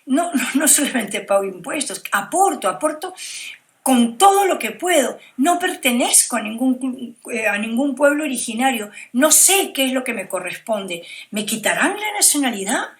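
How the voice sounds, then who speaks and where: A woman's voice: sits high, big pitch swings, moderate pace. A woman with a Peruvian Spanish accent giving opinions as an academic interview guest, reflective and often checking for agreement. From Peru. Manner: reflective, often seeks agreement with tag questions.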